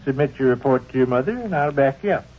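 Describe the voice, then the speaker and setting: A man's voice: mid-range voice, expressive, fast talker, close to an everyday voice. An actor playing a fussy, stubborn middle-aged American father with wordy, formal phrasing in a 1940s radio comedy. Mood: mock-solemn. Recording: dull vintage radio recording.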